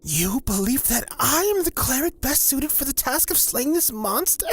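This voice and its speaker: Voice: high-pitched, lively intonation, normal speed, a bit bright, husky, exaggerated voice. A performer playing a nervous, courteous young cleric and blood witch, talking in a flustered, polite way in a fantasy audio drama. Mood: polite, wary.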